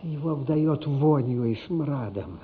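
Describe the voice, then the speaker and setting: An actor playing a grave storyteller, narrating a radio play with deliberate, theatrical, suspenseful phrasing. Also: male voice, fairly high pitch, animated, a little hiss or hum. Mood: measured. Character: grave, dramatic storyteller of a childhood tale. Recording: muffled radio drama recording.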